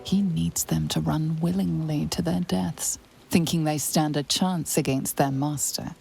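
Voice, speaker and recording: A brooding game narrator. Her voice is very low, dark and creaky, measured and ominous over faint music. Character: ominous, brooding female storyteller narrating in second person.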